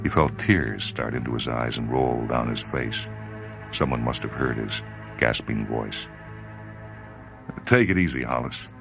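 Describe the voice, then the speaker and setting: A man reading a literary short story as dramatic scripted narration, with grave, deliberate phrasing. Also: very deep voice, fairly even intonation, vocal fry, over music. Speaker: short story reader on a radio series.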